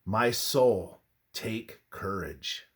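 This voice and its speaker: A man reading a scripted religious text aloud in a solemn, measured, preacherly way, as in devotional narration. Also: on the low side, a bit bright, husky.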